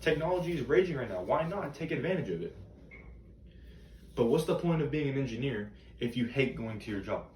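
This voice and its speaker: A man's voice: mid-range voice, animated, a little fast, darkish tone, lightly acted. An American man playing an earnest motivational teacher, giving students a rambling, emphatic, colloquial monologue. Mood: urging, emphatic. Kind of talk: self-written monologue, motivational speech. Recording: echoey room, distant mic, background noise.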